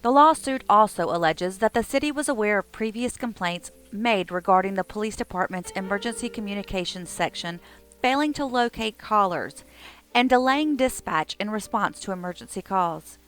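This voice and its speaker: A woman's voice: medium pitch, animated, moderate pace, fairly bright tone, smooth. Female narrator for a scripted documentary, mid-range voice, light music bed.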